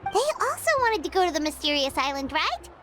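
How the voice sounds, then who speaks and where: Very high, bouncy little companion who talks about herself in third person; game soundtrack under her. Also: sing-song, brisk, fairly bright tone, soft background music. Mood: chatty. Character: tiny floating girl companion, chirpy and chatty.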